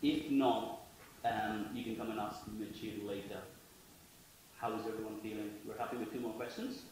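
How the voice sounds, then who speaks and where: A man moderating a talk, calmly managing time and questions. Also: on the low side, normal speed, slight background noise. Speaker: event moderator. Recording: distant echoey hall.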